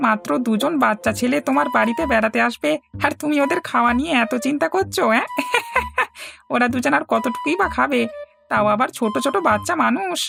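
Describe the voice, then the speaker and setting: Casting: woman as chatty male cartoon shopkeeper, teasing, high gravelly voice, over prominent music. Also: animated, a little fast, full character voice. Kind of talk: cartoon dialogue. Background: loud instrumental music.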